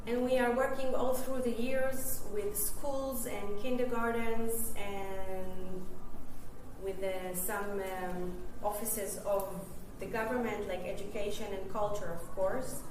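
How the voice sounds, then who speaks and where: Woman giving a workshop talk, slow and halting, far off in a big echoey hall. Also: mid-range voice, steady tone. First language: Hebrew. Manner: halting, searching for English words. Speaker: workshop presenter. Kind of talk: masterclass talk to an audience. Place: festival venue hall. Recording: very echoey hall, distant mic, ambient noise.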